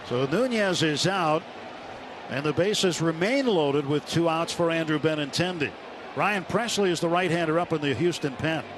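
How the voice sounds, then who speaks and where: A man with an American accent calling a baseball game live as a radio play-by-play announcer. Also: fairly high pitch. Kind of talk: live sports commentary. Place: broadcast booth at a baseball stadium. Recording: noisy live radio ballpark broadcast.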